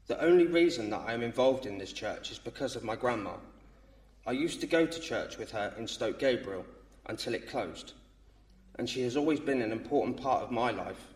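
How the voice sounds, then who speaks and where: Man, thirties, nervous halting reading, echoey hall, distant mic. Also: medium pitch. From England. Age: 30s. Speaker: baptism candidate. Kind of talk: reading a testimony aloud. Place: church. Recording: roomy church sound from off mic.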